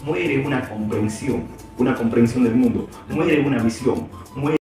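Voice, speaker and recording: Male teacher interviewee, halting and reflective, distant mic, roomy echo. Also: medium pitch. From Peru. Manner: reflective, hesitant with many fillers and restarts. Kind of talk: interview answers. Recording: very echoey room, far from mic, music behind.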